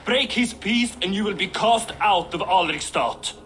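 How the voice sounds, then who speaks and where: Stern king's enforcer, high voice, slow delivery, Viking video game, faint ambient noise. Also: expressive, a bit bright. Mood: stern warning. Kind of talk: cinematic video game dialogue.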